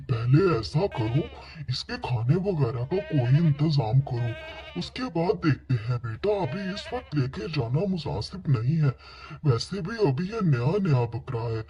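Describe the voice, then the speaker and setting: A man playing a patient, practical father in a children's cartoon, giving gentle instructions in a rough voice. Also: mid-range voice, dull audio. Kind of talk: Hindi children's cartoon dialogue. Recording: music under the voice.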